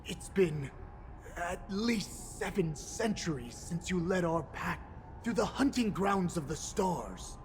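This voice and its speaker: A cunning, devoted beast servant from a video game, addresses a master with humble formality. The voice is high for a male and theatrically deferential. Music plays underneath. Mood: reverent, flattering. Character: cunning humble male beast counselor disguised as fox-man, reverent, devoted. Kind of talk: dramatic video game voice acting. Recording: game audio with music behind.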